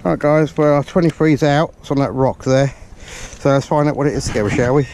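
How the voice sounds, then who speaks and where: A man talks straight to his viewers as he detects along a pebbly beach at dusk, chatty and quick to swear when something thrills him. His voice sits at an everyday middle pitch. It is captured on a body-worn camera, with clear outdoor noise running underneath. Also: fairly even intonation, darkish tone. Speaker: metal detecting vlogger. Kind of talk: excited running commentary while detecting. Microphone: body-worn action camera.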